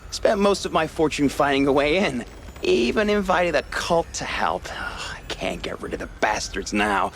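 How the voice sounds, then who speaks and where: Casting: shifty, guilt-ridden wealthy mouse, heavy vocal fry, extremely animated pitch, theatrical hesitations, noir cartoon game. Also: male voice, slight background noise, exaggerated voice. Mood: musing. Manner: theatrical, hesitant, evasive, sighing. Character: cynical, guilt-ridden eccentric rich mouse, war veteran.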